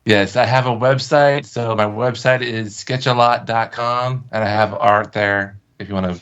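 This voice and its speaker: A man with a slightly low voice chats as a guest on a muffled recording. He sounds informal and casual, with a self-deprecating way of talking. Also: fairly even intonation, moderate pace, a bit bright, smooth. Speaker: guest voice performer and graphic artist. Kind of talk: casual chat. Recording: dull, muffled call audio.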